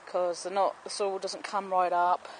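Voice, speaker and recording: A woman's voice: lowish voice, little pitch movement, moderate pace. A woman giving a casual, homely walking tour of her allotment garden, chatting and explaining as she films on a handheld camera outdoors. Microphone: handheld camera, speaker off screen. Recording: outdoor camera audio with clear background noise.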